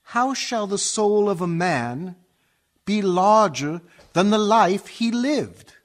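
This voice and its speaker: A man playing a gentle, reverent old soul of a greenhouse keeper, speaking measured, reflective verse for a radio play. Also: high-pitched, expressive. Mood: contemplative. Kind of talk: dramatic verse monologue for radio.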